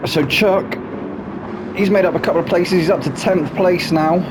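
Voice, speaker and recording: A man calls a sim racing league with a snuffly, stuffed-up voice, pitched fairly high for a man. He chats along casually and keeps tacking on little questions like "isn't he?". Loud car engine noise runs under everything he says. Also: normal speed. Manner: chatty, asks tag questions, drops low for asides. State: snuffly from hay fever. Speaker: race commentator. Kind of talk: live sim racing commentary.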